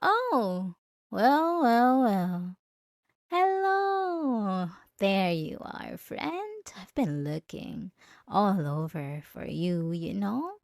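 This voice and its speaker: A woman's voice: mid-range voice, sing-song, very slow, no echo, heavily acted. A woman acting out a possessive, jealous schoolgirl bully in a roleplay audio drama, taunting and sarcastic with sugary false politeness. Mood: sugary menace.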